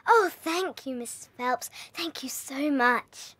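Casting: small, clever British girl for children's audio drama, high pitch, polite, precise delivery. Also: slow pace. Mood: delighted. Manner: quiet, precise, well-mannered. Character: polite, bright, bookish little schoolgirl.

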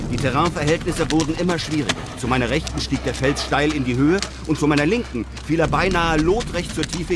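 A man playing a brave, composed European traveller who narrates his desert adventure in measured, literary sentences. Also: mid-range voice, very noisy, lightly acted. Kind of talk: scripted first-person radio drama narration.

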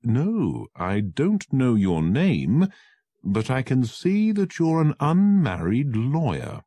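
Man doing a self-assured detective, crisp, slightly raised, husky, lively, very slow. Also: mid-range voice, no room sound, voice pushed up, full character voice. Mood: confident. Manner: crisp, confident, slightly lifted.

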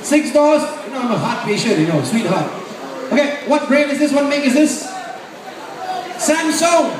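Malaysian guy emceeing a warehouse sale, loud repetitive banter, super echoey pa, crowd noise all around. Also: extremely high pitch, expressive, moderate pace, far from the mic. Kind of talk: live sales patter to a crowd. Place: large open warehouse with a crowd. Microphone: handheld mic over PA, filmed from the audience. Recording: echoey, noisy PA recorded from the crowd.

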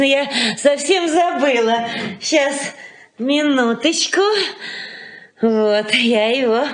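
An actress playing a fussy, wistful elderly aunt in a Soviet radio play, halting and solicitous. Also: female voice, on the high side. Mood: flustered, effusive.

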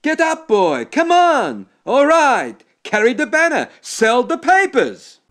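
A woman voicing a rowdy, loud lodging-house man who hollers and bosses the boys around in a storybook reading. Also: high voice, huge pitch swings, takes it slowly, voice pushed up, exaggerated voice. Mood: hollering. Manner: hollering, bossy.

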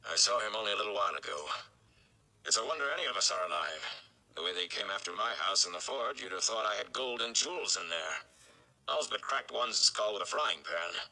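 Weary male village blacksmith for a fantasy storybook, acted by a male reader: steady, homespun, gravelly, gruffly reassuring. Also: fairly low pitch, fairly quick, exaggerated voice, poor audio. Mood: weary, matter-of-fact.

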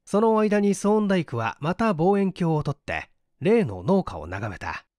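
A man narrating a mystery story as an audiobook, with an expressive, dramatic delivery. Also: sits high, moderate pace, no room sound, studio quality. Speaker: audiobook narrator, stage actor.

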